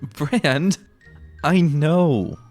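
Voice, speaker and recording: A man's voice: a little high, very slow, a little mellow, faint music behind, voice pushed up. A man voicing a young female pony scout who is breezy, affectionate and playfully chiding, in a dramatic story reading. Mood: teasing.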